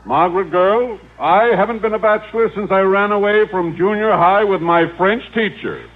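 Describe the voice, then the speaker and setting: An actor playing a hesitant, evasive suitor, hemming theatrically in an old-time radio comedy. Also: male voice, high-pitched, moderate pace, close to an everyday voice. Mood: alarmed. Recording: tinny, hissy old radio broadcast.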